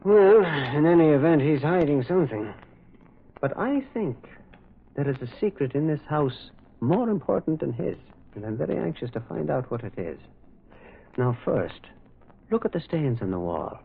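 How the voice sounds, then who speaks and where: A husky, swooping voice playing a gentle priest detective in a radio play. He murmurs, hesitates and repeats himself as he works through a puzzle, thoughtful and quietly probing, on a thin phone-like recording. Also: fairly high pitch, sing-song, normal speed, heavily acted. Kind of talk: radio drama acting.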